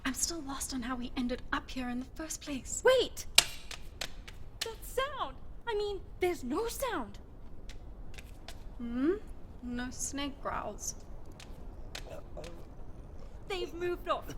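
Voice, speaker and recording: A woman's voice: sits high, very animated, slow pace, long pauses, heavily acted. A woman playing a bickering rescuer in a fantasy wasteland audio drama. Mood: tense, wary. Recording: clear background noise.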